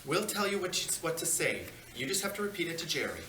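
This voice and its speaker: A man voicing a friendly, excitable male ghost sidekick with a playful, gushing manner at a comedy table read. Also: a little high, fast talker. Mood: urgent. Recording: echoey, noisy meeting room.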